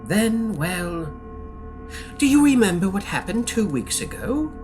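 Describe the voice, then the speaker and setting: Slow, swooping malevolent knight villain. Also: male voice, sits high, big pitch swings, a little mellow, soft background music. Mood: mocking glee. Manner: theatrical, drawn-out, mockingly friendly. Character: malevolent male dream knight, calm, taunting, hungry for vice. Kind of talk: audio drama villain monologue.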